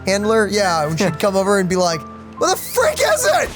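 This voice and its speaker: Woman streamer, middling pitch, very lively intonation, casual rambling reactions, faint game music. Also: fairly quick. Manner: animated, rambling. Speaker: let's play streamer.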